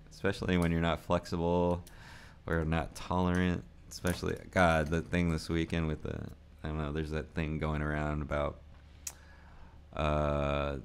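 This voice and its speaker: Forties male vlogger, very deep gravelly voice, loose rambling delivery with frequent laughs. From United States. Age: 40s. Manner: rambling, musing, laughs often. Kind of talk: casual unscripted vlog talk.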